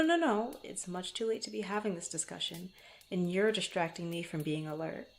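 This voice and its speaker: A woman playing a guarded, bantering young swordswoman in an intimate fantasy ASMR roleplay, speaking haltingly to the listener. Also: lowish voice, vocal fry, a little mellow. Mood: startled, mock stern. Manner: flustered, bantering, halting, often sighing or chuckling. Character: guarded, teasing young adventurer swordswoman, former street thief.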